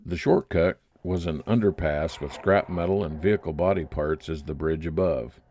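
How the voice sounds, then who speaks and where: A man's voice: deep voice, moderate pace, a little mellow, slight background noise. A man narrating a scripted first-person detective story in old-time radio style.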